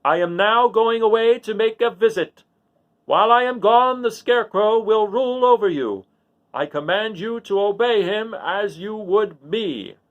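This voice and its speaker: A man acts out a little storybook wizard, talkative and grand. The voice sits high and swings widely, with an eager, explaining showman's manner. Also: lively intonation, a little slow, dull audio. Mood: loud, commanding. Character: little old humbug wizard, male, chatty, grandly commanding. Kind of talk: storybook read-aloud character voice.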